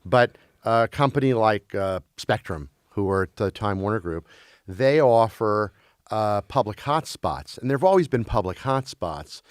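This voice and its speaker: A man who grew up in Belgium talks as an interview guest in a loose, conversational way. He stumbles and restarts often, circling back over his words in a lowish voice. The recording is dry, with almost no room sound. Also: animated, a little slow, clear, resonant tone, no echo. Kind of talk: casual conversation.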